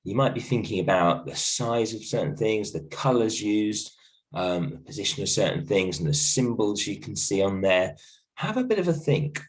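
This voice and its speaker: A male teacher with a croaky voice from illness, giving a gentle, reassuring video lesson from home with many ums and restarts. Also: fairly low pitch, very animated, normal speed, low-quality recording. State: croaky voice from a cold, coughing. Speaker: primary school teacher. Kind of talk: recorded video lesson for a class.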